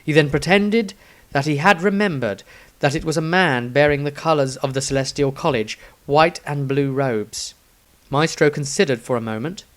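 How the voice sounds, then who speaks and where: A man's voice: on the high side, moderate pace, a bit bright. Man narrating a fantasy story. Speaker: author and narrator.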